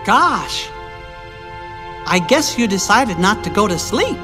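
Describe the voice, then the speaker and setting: An actor voicing a small, friendly, earnest male cartoon mouse in a squeaky falsetto for a video game. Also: very animated, takes it slowly, over music, exaggerated voice. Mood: gentle, musing.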